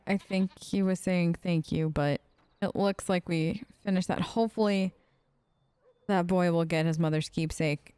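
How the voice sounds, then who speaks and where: Higher-pitched woman doing game commentary. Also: clear, resonant tone, no echo. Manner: chatty, musing, reacts in character. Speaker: let's play host. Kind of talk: casual role-play game commentary.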